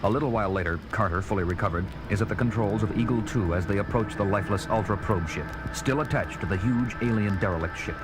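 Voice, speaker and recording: A man's voice: fairly low pitch, fast, few pauses, rough recording. A man delivering scripted narration for an audio drama. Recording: music behind the narration.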